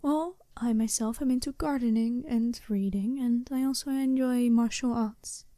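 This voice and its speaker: Woman playing a mellow, soft-spoken werewolf bride. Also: on the high side, dry recording, clean studio sound, close to an everyday voice. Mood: courteous, curious. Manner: polite, measured, formal wording. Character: smooth, businesslike female werewolf bride, seductive and frank. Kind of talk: soft-spoken ASMR roleplay.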